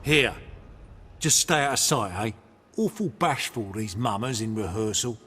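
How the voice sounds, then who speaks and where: An actor playing a pushy, streetwise ticket seller in a video game, husky and wheedling. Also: male voice, lowish voice, animated, a little slow, a bit bright, long reverb tail, heavily acted. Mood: casual, wheedling.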